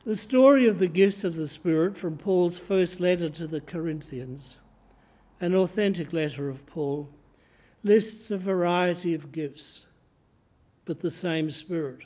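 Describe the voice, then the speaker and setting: Slow, measured older Australian priest. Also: male voice, sits high, darkish tone. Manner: slow, measured reading from notes. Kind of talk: sermon. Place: church sanctuary, indoors. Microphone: lectern microphone, camera in front.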